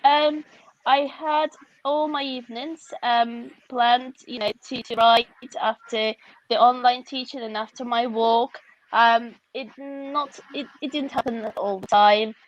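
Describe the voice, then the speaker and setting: A woman's voice: a little high, steady tone, unhurried, muffled recording. A woman from Russia with a Russian accent, a guest author speaking candidly and reflectively, often laughing, over a video call.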